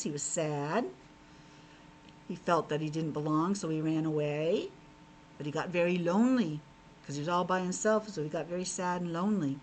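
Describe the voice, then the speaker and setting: A woman teacher reading and explaining a storybook to young children in an expressive, child-directed way. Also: fairly low pitch, a little hiss or hum, poor audio. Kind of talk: storybook narration with simple explanations.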